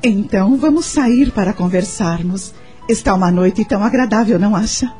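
An actress playing a modest, gentle single mother being courted in a radio soap, speaking politely. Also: mid-range voice, normal speed, soft background music, lightly acted. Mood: warm, inviting. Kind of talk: Brazilian radio soap acting.

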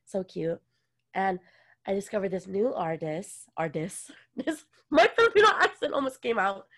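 A woman's voice: mid-range voice, big pitch swings, moderate pace, a bit bright. A young woman from Hawaii co-hosting a podcast in casual chat, chatty and full of "like" and laughs. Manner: chatty, says "like" a lot, laughs often. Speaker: podcast co-host.

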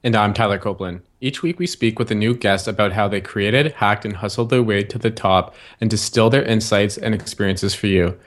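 A man's voice: lowish voice, brisk, few pauses. A man co-hosting a podcast, reading the scripted show intro and the guest introduction in an upbeat way. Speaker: podcast co-host.

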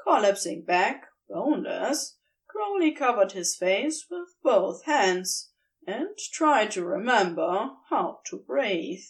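A woman reading fan fiction aloud, with slow, expressive storytelling. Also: mid-range voice. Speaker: podfic reader.

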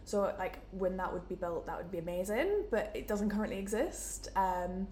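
A woman's voice: medium pitch, animated, normal speed, a little mellow, a little hiss or hum. A young woman from Newcastle with a Geordie accent, chatting casually as an interview guest in a room, with lots of "like" and "um". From Newcastle, England. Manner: chatty, many fillers like "like" and "um". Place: indoor room, in person.

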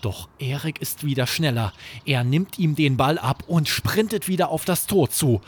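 A man narrating a scripted children's bedtime story as podcast host, with expressive, dramatic storytelling. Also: fairly high pitch, moderate pace, slightly rough.

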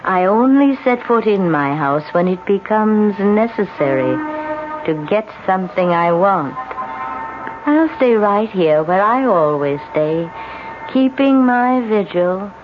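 A woman's voice: medium pitch, lively intonation. A woman playing the possessive, old-fashioned ghost of a sea captain's wife in a radio drama, speaking formally and ominously. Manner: formal, archaic, slow and ominous speech. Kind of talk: 1970s radio drama acting. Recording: muffled vintage radio recording. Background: music.

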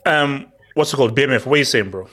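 A man from Nigeria hosting a casual football podcast, animated and playful, often repeating words. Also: mid-range voice, quick pace, breathy edge.

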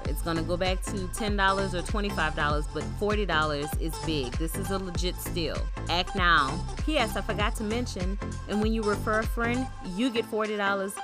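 A woman pitches a promotion with eager, salesy energy in a voice of middling pitch. Music plays clearly behind her throughout. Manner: enthusiastic and salesy. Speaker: podcast host reading a sponsor ad. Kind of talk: upbeat sponsor read.

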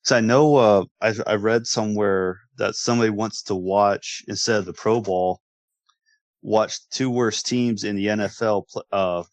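Man, American accent, low pitch, casual sports co-host, agreeable, halting and repetitive, dry sound. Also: fairly even intonation, no room sound. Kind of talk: casual chat.

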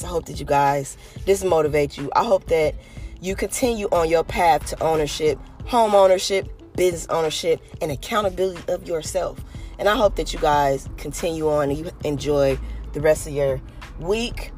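Podcast host, from California, hoarse and raspy, earnest, rambling, faint music behind. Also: mid-range voice. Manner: earnest, rambling, many "you know" fillers. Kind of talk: motivational podcast monologue.

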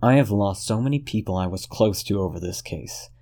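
A man voicing a cold, controlled detective who speaks in a measured, menacing way. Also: fairly low pitch, lively intonation, creaky, a little mellow.

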